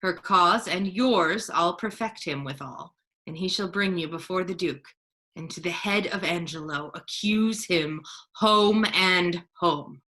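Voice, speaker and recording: Woman playing a commanding friar, bright forceful voice. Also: lowish voice, a little slow. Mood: instructing. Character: scheming duke disguised as a holy friar, male, authoritative. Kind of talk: staged reading of Shakespearean verse.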